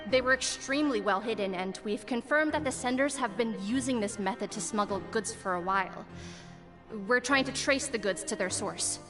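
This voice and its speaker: A dutiful female guard in an anime game, voiced by a woman, speaking fairly high. She reports in a formal, deferential manner, with music clearly playing behind. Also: moderate pace, natural voice. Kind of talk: anime video game voice acting.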